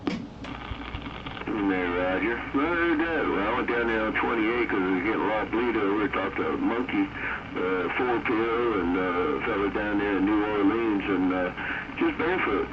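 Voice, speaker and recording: Male CB operator, low voice, folksy rambling chat, muffled transmission buried in heavy static noise. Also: expressive, normal speed. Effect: CB radio transmission. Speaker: CB radio operator. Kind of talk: casual radio chat. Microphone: CB radio microphone, heard through receiver. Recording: muffled, very noisy CB radio reception.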